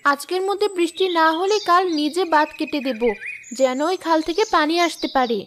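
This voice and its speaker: A woman's voice: very high voice, fairly quick, fairly bright tone, a little hiss or hum. A woman voicing a hardworking, worried village wife and mother in a cartoon, earnest and caring. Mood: earnest, anxious. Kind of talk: Bengali fairy-tale cartoon voice acting. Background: music.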